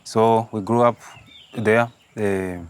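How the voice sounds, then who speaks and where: Low, flat-voiced young man. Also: takes it slowly, clear, resonant tone. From Zimbabwe. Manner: hesitant, with fillers and restarts. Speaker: seminarian. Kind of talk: personal vocation testimony.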